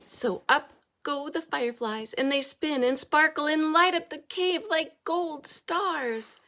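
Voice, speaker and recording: A woman reading a children's story aloud as an expressive narrator, dramatic and deliberate in her delivery. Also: high-pitched. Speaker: storybook reader.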